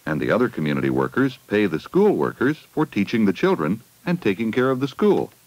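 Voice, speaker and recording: Male narrator for a 1980 school film: low voice, very varied melody, simple explaining and questioning aimed at kids. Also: very animated, brisk, darkish tone, low-quality recording. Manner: addresses children with simple questions. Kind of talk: scripted educational film narration. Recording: 1980 16mm film soundtrack.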